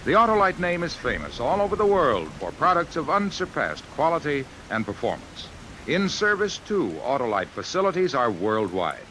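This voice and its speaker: A man's voice: a little high, sing-song, normal speed, pushed and bright, rough recording. An American man reading 1950s radio advertising as a polished, emphatic commercial announcer. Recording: hissy old radio recording.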